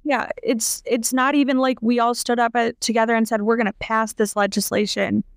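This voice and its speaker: A woman hosting a discussion podcast, talking in a conversational way with an edge of frustration. Her voice is clear and smooth, a little higher than most women's, and heard close and dry. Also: little pitch movement, fairly quick, no echo. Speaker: podcast host.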